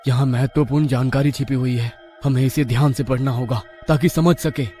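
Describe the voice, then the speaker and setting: A man playing a determined young adventurer in a scripted audio story, earnest and deliberate. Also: mid-range voice, fairly even intonation, mellow, faint music behind, natural voice. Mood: focused.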